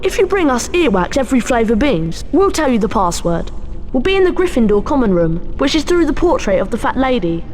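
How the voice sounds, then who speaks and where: A woman's voice: on the high side, big pitch swings, brisk, a little mellow, in a large hall, faint music behind. A woman voicing an eager, chummy young schoolboy wizard in a video game. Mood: friendly.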